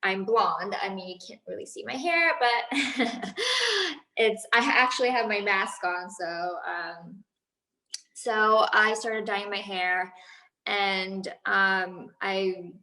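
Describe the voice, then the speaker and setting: A woman's voice: mid-range voice, slow, pushed and bright, roomy sound, low-quality recording. A woman in her thirties, a business founder, chatting casually in an interview with many fillers and restarts. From Los Angeles, USA.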